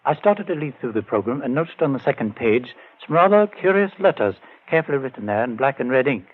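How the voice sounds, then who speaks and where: An actor playing a grieving brother set on finding a murderer, earnest and urgent in 1940s radio drama style. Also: male voice, mid-range voice, lively intonation, fast, lightly acted. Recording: tinny old radio broadcast.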